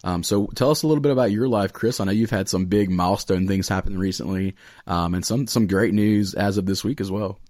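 A man's voice: lowish voice, brisk, few pauses, a little mellow, no room sound, studio quality. An American man in his late twenties chatting casually and earnestly as a podcast host, with plenty of "like" and "you know". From Raleigh area, United States. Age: late 20s. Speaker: podcast host, student pastor.

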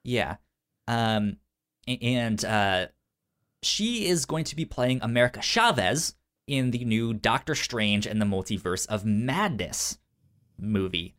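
A man co-hosting a casual pop-culture news chat, stuttering on sounds and syllables, with halting, informal phrasing. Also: fairly low pitch, expressive, a bit bright. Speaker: podcast co-host.

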